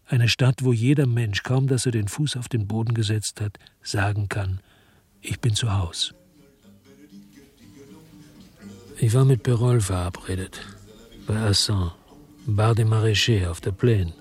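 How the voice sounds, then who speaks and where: A man's voice: on the low side, steady tone, moderate pace, soft background music, lightly acted. A man playing a weary, disgusted hard-boiled investigator, narrating his own story in a brooding, terse way in a German radio drama. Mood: reflective.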